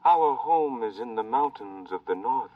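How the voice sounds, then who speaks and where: An actor playing an old, sorrowful Martian native who speaks slowly and formally in a 1950s radio drama. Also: male voice, medium pitch, animated, no echo, distant mic, phone-quality sound, natural voice. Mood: solemn. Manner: slow, measured, formal speech. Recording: dry vintage radio transcription.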